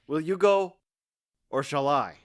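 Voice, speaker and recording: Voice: medium pitch, expressive, slow pace. A performer playing a stoic, hurt husband in an audio drama, speaking in a restrained, terse, cool way. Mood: cool, resigned. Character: stoic, guarded husband, hurt but withholding. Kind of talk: theatrical audio drama acting.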